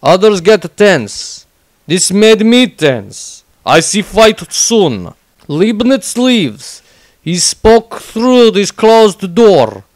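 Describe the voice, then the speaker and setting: A man's voice: high voice, no echo, exaggerated voice. A man voicing a blunt, simple-minded barbarian warrior who tells a violent fantasy diary in clipped, broken grammar. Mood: gruff, brooding. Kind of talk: in-character diary narration, fantasy audio story.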